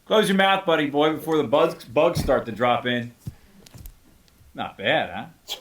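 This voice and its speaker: A man's voice: on the high side, animated, measured pace, a bit bright, a little hiss or hum. A man playing a leering, boisterous thirty-year-old suburban neighbor in a screenplay table read, with a jokey, exclamatory delivery. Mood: eager, cajoling.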